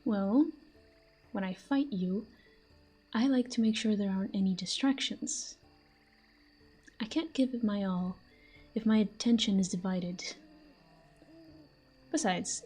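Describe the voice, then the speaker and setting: Casting: actress as jealous, flirtatious female fantasy villain for audio roleplay; mellow, breathy, smug, soft music underneath. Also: medium pitch. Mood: possessive, coolly menacing. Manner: smug, taunting, breathy murmurs. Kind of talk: intimate scripted audio roleplay addressed to the listener.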